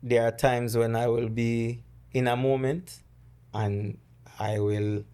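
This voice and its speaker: A middle-aged man from Jamaica with a Jamaican accent, a podcast guest who recounts personal loss in a halting, word-searching way. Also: medium pitch, level tone, dark tone, clean tone. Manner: halting, searching for words, with many restarts. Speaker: guest, dancehall artist. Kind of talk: conversational interview, storytelling.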